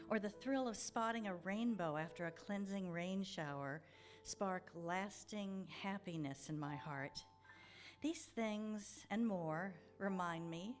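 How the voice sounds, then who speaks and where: A woman speaking as the platform host of a church service, giving an informal welcome and devotional reading, chatty at times and pausing deliberately when she reads. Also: mid-range voice. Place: church sanctuary.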